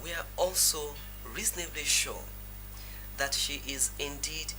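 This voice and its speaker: A man acting as a formal, matter-of-fact doctor in a film drama, speaking in a measured way. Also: fairly high pitch, steady tone, pushed and bright, soft background music, poor audio. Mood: grave.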